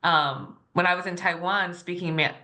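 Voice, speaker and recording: A woman's voice: fairly low pitch, steady tone, moderate pace, bright, forceful tone. An American woman moderating a book talk, sharing anecdotes in a conversational way. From United States.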